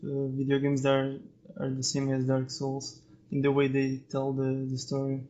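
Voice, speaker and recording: A man of medium pitch joins a casual discussion as a guest. His delivery is flat and even. The audio is dull and low in fidelity. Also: normal speed. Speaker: podcast guest.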